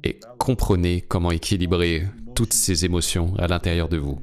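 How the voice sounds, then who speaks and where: A man's voice: low voice, steady tone, moderate pace, darkish tone. A man voicing a guest's translated interview answers, speaking earnestly with repeated phrases. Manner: earnest, advising, repetitive phrasing. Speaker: French voice-over interpreter for a guest.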